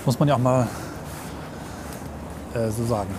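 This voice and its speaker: A man's voice: mid-range voice, measured pace. A man chatting casually as a podcast co-host while sightseeing on foot, easygoing and positive about what he sees. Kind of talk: casual chat and sightseeing commentary on the move. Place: outdoors on city streets, walking. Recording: clear outdoor city and traffic noise. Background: street ambience, vehicles.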